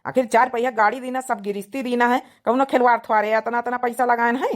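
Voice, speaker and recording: A woman's voice: fairly high pitch, steady tone, fast, natural voice. A woman voicing a hot-headed, protective young village brother in a cartoon comedy drama, insistent and argumentative with an Awadhi accent. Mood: worried, determined.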